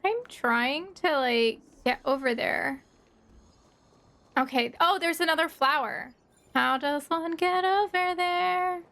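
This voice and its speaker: A woman's voice: sits high, slow, a bit bright. A woman livestreamer giving casual, playful game commentary, thinking aloud, with slight noise behind her. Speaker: livestreaming gamer.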